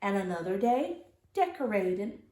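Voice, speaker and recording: A woman teacher reading a children's storybook aloud, with expressive, deliberate phrasing in an echoey room. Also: mid-range voice.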